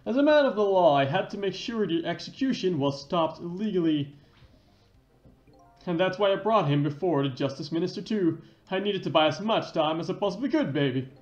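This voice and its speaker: A cocky, devoted special investigations chief, as acted by a man reading a video game. He swaggers along in a highish, very animated voice. The sound is roomy and lo-fi. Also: fairly quick, soft background music. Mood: determined, confident. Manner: swaggering, says "baby" as a tag. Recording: dull lo-fi roomy mic.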